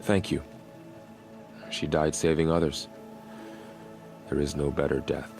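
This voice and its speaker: A grieving, dutiful young warrior from a video game. His voice is deep, with a solemn, earnest delivery. Soft music sits underneath. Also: little pitch movement, unhurried. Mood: solemn grief.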